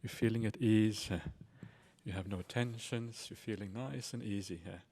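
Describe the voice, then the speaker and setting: A man leading a guided meditation as a teacher, speaking in a soothing, unhurried and reassuring manner. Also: fairly low pitch, fairly even intonation, darkish tone, slightly rough. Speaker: Buddhist monk and meditation teacher.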